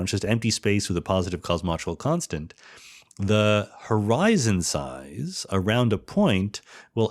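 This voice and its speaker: A man giving an informal explanatory monologue as a podcast host, posing questions and answering them himself. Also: low-pitched. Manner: explanatory, asks and answers own rhetorical questions. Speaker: podcast host, theoretical physicist.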